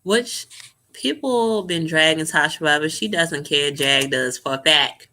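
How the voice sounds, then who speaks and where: A young woman vlogger giving sassy, profane, animated reaction commentary to camera. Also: deep voice, measured pace.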